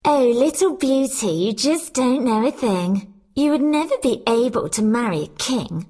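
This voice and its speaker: A woman's voice: a little high, animated, measured pace, mellow. A woman voicing vain, jealous, snobbish young sisters in a children's fairy tale, in a haughty, theatrical sing-song way. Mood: mocking.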